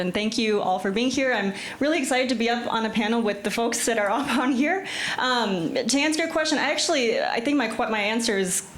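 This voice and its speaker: A woman panelist answering a question, eager and repeating her words as she thinks. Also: medium pitch. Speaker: panelist, policy manager. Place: conference room. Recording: echoey room, faint noise.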